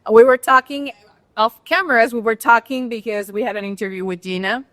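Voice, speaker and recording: Bilingual woman host, pitched a bit high, effusive, dry sound. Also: brisk, no echo. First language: Spanish. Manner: effusive, animated. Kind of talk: enthusiastic bilingual interview. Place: restaurant.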